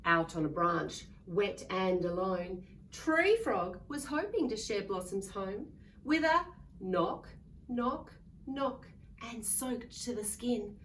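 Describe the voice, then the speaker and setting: A woman's voice: medium pitch, sing-song, takes it slowly, fairly bright tone. A woman reading a picture book aloud to young children in a sing-song way, coaxing them to join in. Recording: distant mic with faint background noise.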